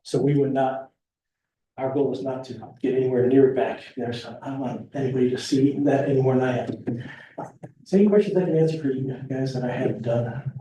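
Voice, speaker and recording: A soft-spoken man, rambling and restarting as he addresses a town meeting as the applicant. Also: medium pitch, brisk, slight background noise. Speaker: property applicant. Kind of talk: public meeting remarks. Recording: echoey room from far off.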